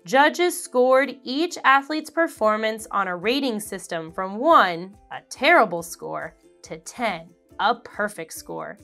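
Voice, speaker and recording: Animated, slow-reading woman storyteller. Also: on the high side, faint music behind. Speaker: children's book reader. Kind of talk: expressive read-aloud for children.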